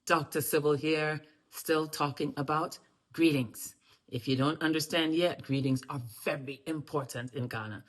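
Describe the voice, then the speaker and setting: A woman leads a friendly greetings lesson to camera. She repeats each phrase slowly so learners can echo it. Now and then she breaks into lively, loud bursts of emphasis. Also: deep voice. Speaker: language and culture teacher.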